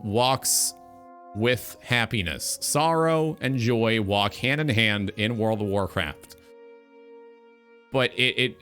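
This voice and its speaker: A man in his twenties delivers a reflective talk show monologue in a bright, forward voice. He sounds earnest and philosophical, often circling back to repeat phrases. Music plays faintly underneath. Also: medium pitch, clean tone. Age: 20s. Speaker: talk show host, game streamer.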